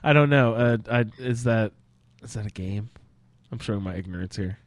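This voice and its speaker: A man's voice: on the low side, normal speed, dry recording. A man hosting a casual roundtable discussion about a video game, asking questions and inviting others to weigh in. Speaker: podcast roundtable host.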